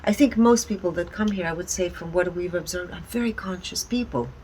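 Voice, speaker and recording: Low-voiced woman presenting, with background noise. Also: a little fast, a little mellow. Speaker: speaker presenting energy readings. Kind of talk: conversational explanatory presentation.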